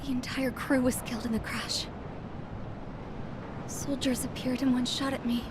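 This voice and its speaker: An actress playing a grieving young princess, narrating a game cutscene in a solemn, formal, sorrowful way. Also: female voice, high voice, little pitch movement, moderate pace, very noisy, natural voice. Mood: solemn grief. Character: grieving, idealistic young princess, numb with loss. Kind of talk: scripted first-person game cutscene narration. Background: loud music.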